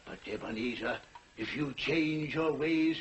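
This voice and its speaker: An actor playing the solemn, mournful ghost of a dead man in a slow, portentous 1940s television drama style. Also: fairly high pitch, lo-fi sound, slight background noise. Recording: old 1940s TV soundtrack, music behind.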